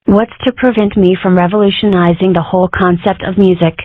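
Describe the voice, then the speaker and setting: A woman's voice: mid-range voice, fairly even intonation, a little fast, no echo, natural voice. A woman voicing a brash, mischievous young fox girl who makes theatrical, self-important declarations in an amateur comic dub. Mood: indignant. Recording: muffled, tinny phone-like audio.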